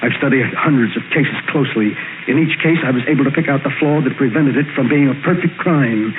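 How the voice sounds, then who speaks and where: A man's voice: mid-range voice, quick pace, lightly acted. A man playing an obsessive middle-aged father plotting murder, intense and emphatic, in 1940s radio drama style. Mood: coldly resolved. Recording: noisy, tinny 1940s radio recording.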